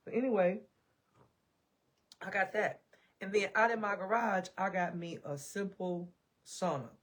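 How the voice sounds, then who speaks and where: A woman's voice: medium pitch, steady tone, a little slow. An American woman vlogger talking casually to camera at home, emphatic and folksy, repeating phrases for emphasis, her voice a little worn as she loses it. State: losing her voice. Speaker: self-care and parenting vlogger. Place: indoors at home.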